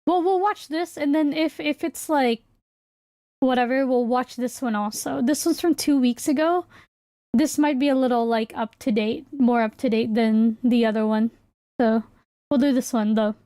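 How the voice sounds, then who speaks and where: A woman's voice: high-pitched, normal speed, warm tone, dry recording, studio quality. A woman livestreamer chatting casually with viewers, thinking aloud in a hesitant, rambling way. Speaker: livestreamer and VTuber host.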